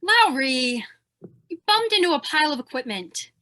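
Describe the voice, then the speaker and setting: An actress playing a snappy, sardonic newspaper photographer in an old-time radio drama, with a sharp, wry delivery. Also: female voice, high voice, sing-song, moderate pace, a little hiss or hum, full character voice. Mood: annoyed.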